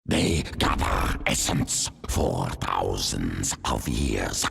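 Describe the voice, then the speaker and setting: Primal alien beast, extremely low, harsh rasping gravel, very slow cryptic riddles. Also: male voice, very animated, fairly bright tone, exaggerated voice.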